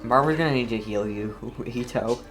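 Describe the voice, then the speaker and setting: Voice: mid-range voice, a little fast. A streamer giving casual gameplay commentary, playful and mock-scolding, often talking straight to the game. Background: game music.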